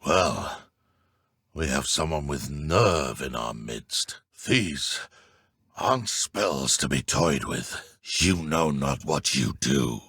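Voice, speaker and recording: Give the game a haughty male dark wizard, pompous and taunting in old-fashioned phrases. His pitch leaps wildly from low murmurs to high outbursts, with a gravelly, husky edge. The delivery is theatrical and murderous. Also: no echo, clean studio sound, exaggerated voice. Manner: pompous, archaic diction, taunting. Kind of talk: video game combat voice clips.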